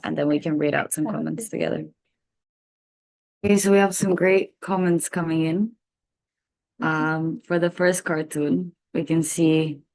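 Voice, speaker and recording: A woman from Serbia, guiding a seminar audience through a workshop activity with frequent "um" fillers, heard over a video call. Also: sits low. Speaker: workshop facilitator. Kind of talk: leading a workshop.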